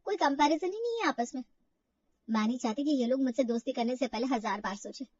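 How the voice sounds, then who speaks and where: Insecure young woman played by a female narrator, high, fretful, defensive, slightly muffled storybook audio. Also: expressive, fast, darkish tone, dry recording, distant mic. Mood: anxious brooding. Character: insecure, self-doubting young woman from Old Delhi.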